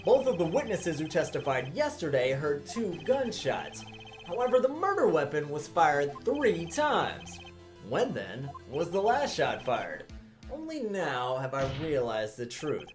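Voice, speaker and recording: A woman's voice: deep voice, very animated, unhurried. A woman voicing a cocky, sarcastic young male defense attorney in a theatrical fan dub of a visual novel game. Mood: assertive. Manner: sarcastic, grandstanding, swinging into asides. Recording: voice over game music. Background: game music.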